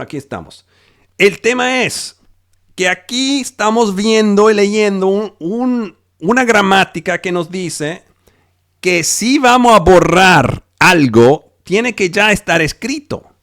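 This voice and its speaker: A male pastor preaching a sermon over a video call, in a slow, emphatic way with frequent restarts; Spanish is not his first language. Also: high-pitched, expressive, fairly bright tone.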